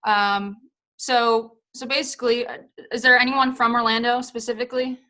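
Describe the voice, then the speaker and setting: Bright-voiced woman speaking on a video call. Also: on the high side, little pitch movement, normal speed. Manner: chatty, many fillers and hedges. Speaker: guest speaker, former pro soccer player. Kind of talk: casual talk on a video call.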